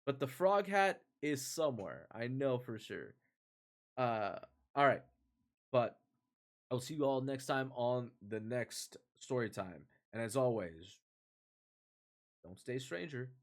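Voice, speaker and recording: A man hosting a story stream, chatting in an informal, animated and rambling way. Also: medium pitch, slow pace, pauses often. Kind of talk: casual livestream chat.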